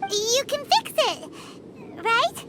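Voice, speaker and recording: A woman voicing a small, excitable, chatty female companion creature in a video game, squeaky and childlike, with a cheeky manner. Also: slow, heavy background noise, heavily acted. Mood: upbeat. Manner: cheeky, third-person self-reference.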